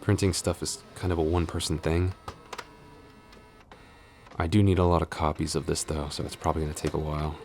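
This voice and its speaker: A man's voice: extremely low pitch, level tone, brisk, long pauses, darkish tone. A man playing a flustered tsundere boyfriend and coworker, grumbling but smitten, in an intimate, hesitant, mock-scolding ASMR audio roleplay. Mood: exasperated, firm. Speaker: voice actor.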